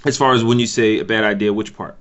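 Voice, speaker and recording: A man with a low voice runs a meeting by conference call. He speaks informally and haltingly, working out his points as he goes. The sound is dull and lo-fi. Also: fairly even intonation, fairly bright tone. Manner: halting, hedging, thinking aloud.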